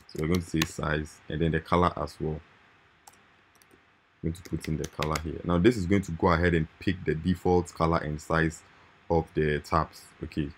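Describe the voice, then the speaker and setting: A man's voice: rumbling low, breathy edge. A man hosting a screen-recorded coding tutorial, talking informally and repeating his points with "right?" and "okay".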